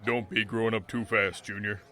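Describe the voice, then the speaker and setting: A man's voice: fairly low pitch, steady tone, brisk, bright, forceful tone. A man playing a genial, plainspoken country farmer and father in a comic audio drama. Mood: fatherly.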